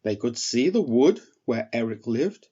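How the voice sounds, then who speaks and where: Guy reading a bedtime story for kids, unhurried, really varied pitch, slightly lo-fi. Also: sing-song, mellow, husky. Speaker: storyteller, author reading own work. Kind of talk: children's storybook narration.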